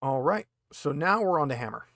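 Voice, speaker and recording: A man's voice: fairly high pitch, lively intonation, fairly quick, gravelly, a bit bright, dry recording. A man runs a tabletop roleplaying game as game master. He talks casually and explains the rules as he goes. The sound is dry, with no room around the voice.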